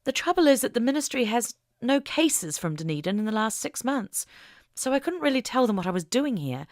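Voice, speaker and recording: Woman voicing an earnest, animated young female agent. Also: fairly high pitch, a little fast, no room sound, studio quality, pitched above the performer's own voice. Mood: earnest, worried. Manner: careful, slightly awkward.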